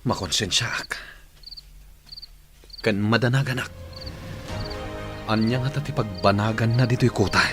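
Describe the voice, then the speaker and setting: A man's voice: on the low side, unhurried, long pauses, over loud music, natural voice. A man with an Ilocano Filipino accent playing an uneasy, conscience-stricken younger brother in a radio drama. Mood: hushed, worried.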